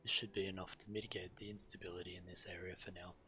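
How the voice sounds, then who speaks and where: A man reading quest dialogue aloud in a measured way while streaming a game. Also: deep voice, level tone, pushed and bright, a little hiss or hum.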